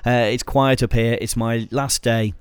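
Middle-aged british guy with a cold, bit husky, chatty podcast ramble, dry close mic. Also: medium pitch, little pitch movement, measured pace, no echo, faint music behind. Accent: British English. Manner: chatty, self-deprecating, many "uh" fillers. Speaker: podcast host, photographer. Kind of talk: solo podcast monologue. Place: small cold studio. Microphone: studio microphone with headphones.

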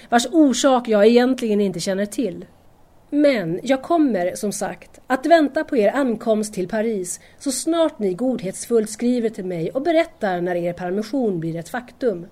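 An actress playing an anxious, yearning young student in love, earnestly confiding a letter in an audio drama. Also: female voice, medium pitch, darkish tone, lightly acted. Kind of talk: audio drama monologue, letter read aloud.

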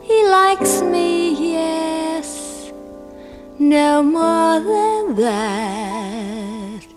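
A woman's voice: very high voice, animated, very slow. A woman singing jazz standards and scat, with music behind her.

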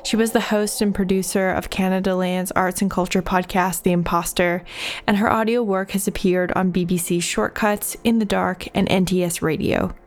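A woman host reading a scripted guest introduction in a clear, measured way. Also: fairly low pitch, steady tone.

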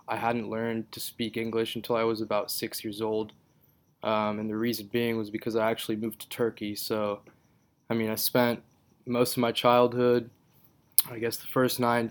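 A man's voice: on the low side, monotone, normal speed. A young man from Israel whose first language is Hebrew, a podcast guest chatting casually and rambling with lots of fillers and restarts. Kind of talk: casual interview chat.

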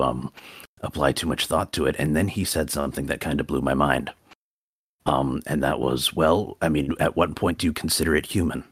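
A man calling in to a talk show, with a very deep voice, speaking slowly and hesitantly with many fillers and dry, deadpan humor. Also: breathy edge, no echo. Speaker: caller. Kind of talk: casual call-in chat.

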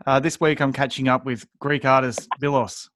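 A man's voice: mid-range voice, fairly even intonation, normal speed, fairly bright tone, a little hiss or hum. A man hosting a podcast, asking questions in a casual, conversational way. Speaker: podcast host. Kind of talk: casual interview chat.

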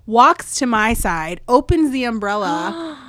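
A woman's voice: a little high, slow pace, no room sound, clean studio sound. A young American woman telling a long personal story in casual, chatty talk, full of "like". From United States. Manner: animated, chatty, lots of "like". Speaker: guest.

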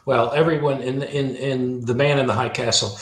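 A man's voice: mid-range voice, steady tone, normal speed. A man speaking as an interview guest and author, thoughtful and discursive, often rephrasing himself as he goes. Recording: roomy, echoing sound.

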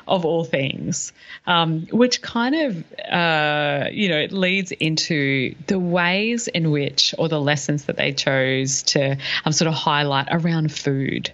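Low-voiced woman, slightly dull audio. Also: takes it slowly, a bit bright. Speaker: podcast co-host. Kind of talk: casual explanatory discussion.

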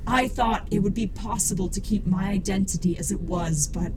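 A woman's voice: mid-range voice, moderate pace, noisy background, natural voice. A woman playing a cold, grandiose cyborg priestess in an audio drama, speaking in a solemn, declamatory manner.

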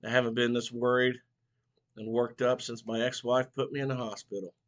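A man's voice: medium pitch, little pitch movement, a little fast, close to an everyday voice. A man voicing an odd, jittery small-town man who squawks and gets worked up in a folksy way.

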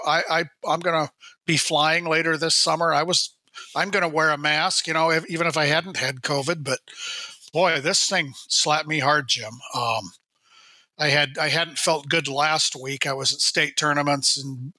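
A man in his sixties, hoarse while recovering from illness, rambling and restarting his sentences in a casual radio chat. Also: on the high side, bright, forceful tone, no room sound. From Minnesota. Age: 60s. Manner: rambling, self-correcting storyteller. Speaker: show regular, state high school sports guide.

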